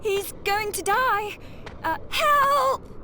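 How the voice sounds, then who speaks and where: A woman's voice: very high voice, lively intonation, extremely slow, bright, forceful tone, slight background noise, full character voice. A woman playing an anxious young female adventurer with a fretful manner in a video game. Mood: panicked. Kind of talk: dramatic video game voice acting.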